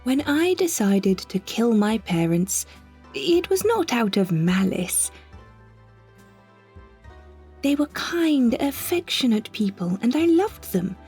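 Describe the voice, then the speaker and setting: Older female storyteller, gravelly edge, husky, deliberate pauses, wry reminiscence, scripted audio drama. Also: fairly high pitch, sing-song, darkish tone, faint music behind, heavily acted. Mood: wry, reflective. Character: older woman wryly recalling her imaginative, earnest childhood. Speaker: monologue narrator. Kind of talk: scripted first-person audio drama narration.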